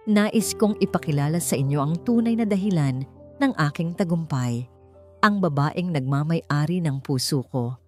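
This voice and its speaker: A woman's voice: sits low, moderate pace, dark tone. A woman voicing an arrogant, cruel businessman husband in a romance story, declaiming with scornful emphasis. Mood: proud, emphatic. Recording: music behind the voice.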